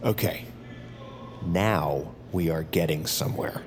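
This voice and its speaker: An actor playing a tense detained assassin, halting and pleading with strained politeness, in an audio drama. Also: male voice, sits low, very noisy. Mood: anxious pleading. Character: tense, desperate detained male assassin insisting he is sane.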